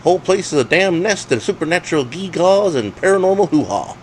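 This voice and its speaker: A man voicing a cranky, hard-of-hearing old rustic who barks folksy talk loudly in a story. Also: on the high side, expressive, brisk, slight background noise, voice pushed up, lightly acted, rough recording. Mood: folksy, blustering. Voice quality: loud, folksy bark.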